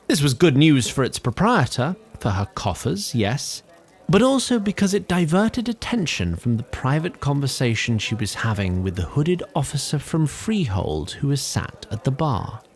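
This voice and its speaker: A man's voice: mid-range voice, big pitch swings, moderate pace. A man playing the formal, theatrical narrator of a fantasy audio drama. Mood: measured storytelling. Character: storyteller narrating a fantasy epic tale.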